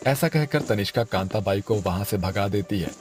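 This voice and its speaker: A man's voice: lowish voice, expressive, normal speed, a little mellow, soft background music. A man delivering scripted narration for a family cartoon story.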